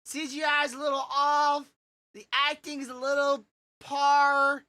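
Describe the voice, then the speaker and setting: Bright-voiced woman chatting on a podcast. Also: high voice, little pitch movement, a little slow. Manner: rambling, many restarts and fillers. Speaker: podcast co-host. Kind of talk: casual podcast chat.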